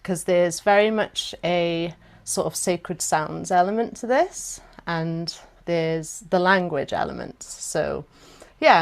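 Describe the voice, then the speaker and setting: A woman with a South Welsh accent talks unhurriedly in a somewhat low voice, leading a live workshop over a video call. She keeps it chatty, with friendly asides and frequent laughs. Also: clear, resonant tone. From South Wales. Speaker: chant workshop teacher. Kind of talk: casual live online workshop talk.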